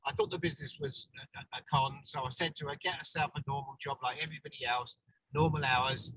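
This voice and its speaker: A man's voice: medium pitch, animated, fast talker, sharp tone. A man speaking bluntly and plainly over a video call while driving his car. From England. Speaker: guest's husband. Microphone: phone on a video call. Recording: distant, noisy car call.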